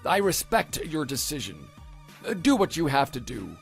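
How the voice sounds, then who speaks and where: A man's voice: on the high side, huge pitch swings, normal speed, gravelly, breathy edge, faint music behind, exaggerated voice. A man playing a regretful, pleading husband in a radio drama, speaking earnestly. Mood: apologetic. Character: pleading, regretful husband begging his wife back. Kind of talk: dramatized story dialogue.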